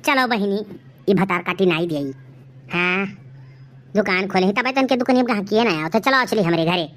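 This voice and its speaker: A woman's voice: mid-range voice, brisk, fairly bright tone, lightly acted. A woman voicing a huffy, haggling village housewife in a cartoon comedy, complaining in an Awadhi accent. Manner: complaining, bickering.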